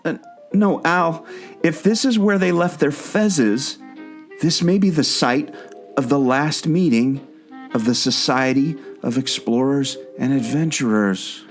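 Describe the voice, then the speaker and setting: A man's voice: on the high side, measured pace, faint music behind, lightly acted. A man acting a plucky, confident and eager explorer in an audio adventure play. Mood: knowing.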